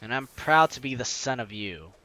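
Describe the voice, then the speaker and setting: A man's voice: mid-range voice, expressive, moderate pace, bright, forceful tone, poor audio. A man reading a story game aloud as a let's player, with dramatic narration and casual asides. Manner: dramatic reading, slipping into offhand comments.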